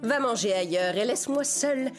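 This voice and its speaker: A woman's voice: a little high, animated, brisk, soft background music, lightly acted. A woman playing a vain, cruel evil queen in a children's cartoon, with a haughty, theatrical, drawling delivery. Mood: menacing. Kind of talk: children's cartoon storybook acting.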